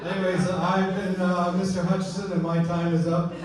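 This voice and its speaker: A Canadian man performing a live stand-up set in a halting, conversational way, often tagging lines with a questioning check. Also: sits high, level tone. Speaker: stand-up comedian. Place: indoor live comedy show, recorded from off stage. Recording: echoey live room, distant mic, heavy noise.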